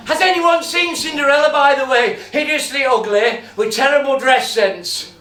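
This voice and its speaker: Brash pantomime dame, high and bright, cheeky and flirty, heard from across a roomy space. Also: male voice, a little slow, heavily acted. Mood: cheeky flirting. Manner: flirty, cheeky, teasing the audience. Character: bawdy brash pantomime dame, ugly sister. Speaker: stage performer. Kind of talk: pantomime stage comedy. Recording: roomy and distant.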